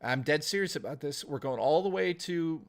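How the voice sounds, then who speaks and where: A man streaming, hoarse and losing his voice, rambling through a casual sign-off and thanking viewers by name. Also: on the high side, expressive, normal speed.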